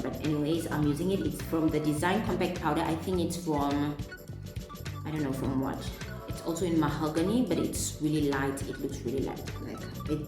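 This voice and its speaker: A young woman with a South African accent talks through her makeup routine in a chatty storytime. She sits a bit low in pitch and jumps up when acting out conversations. Her voice rings off the walls of the room. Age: young adult. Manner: animated, replays dialogue in a raised voice. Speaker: beauty vlogger. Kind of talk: chatty get-ready-with-me storytime. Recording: echoey room with music behind.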